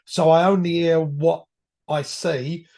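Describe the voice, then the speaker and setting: A man, hoarse from a cold, a guest reminiscing about his years as a firefighter in rambling, self-correcting talk. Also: on the high side, fairly even intonation, slow, mellow. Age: 50s. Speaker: podcast guest, retired firefighter. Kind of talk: reflective conversational storytelling.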